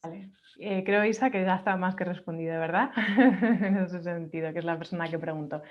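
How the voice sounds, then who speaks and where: Woman moderating, slightly low, dull call audio. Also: a little fast. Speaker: moderator of an online question session. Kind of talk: casual webinar moderating, relaying questions.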